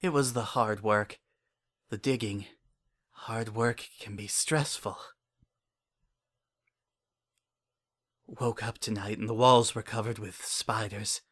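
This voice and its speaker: A man's voice: lowish voice, sharp tone. A man plays an unhinged narrator telling his own horror story in the first person. He is obsessive and paranoid, with an earnest, oddly childlike way of putting things and a brooding undertone. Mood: subdued, uneasy. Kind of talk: first-person dramatic horror fan fiction reading.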